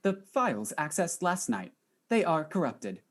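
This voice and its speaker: Woman playing a robot computer assistant, very deep, husky, precise, polite, audio drama. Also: sing-song, a little slow, full character voice. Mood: dutiful, apologetic. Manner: formal, stilted, precise.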